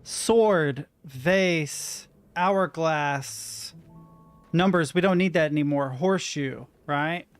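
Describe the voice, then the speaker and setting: A man's voice: on the high side, slow pace, smooth, soft background music. A young man thinking aloud as he plays a video game at home, muttering to himself. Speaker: gaming streamer. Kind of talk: let's play commentary. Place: room at home with a window and a rattling door.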